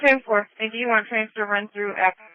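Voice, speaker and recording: A woman's voice: a little high, fairly even intonation, fast talker. A woman working as a police dispatcher, speaking in clipped acknowledgements and relayed instructions over the radio. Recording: muffled close radio dispatch channel.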